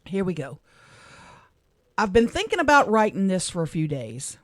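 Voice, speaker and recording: A middle-aged woman from Louisiana, a radio co-host, speaking softly and with emotion as she reads a personal letter on air in a studio. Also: mid-range voice, big pitch swings, normal speed, no echo. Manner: heartfelt, soft-spoken, emotional.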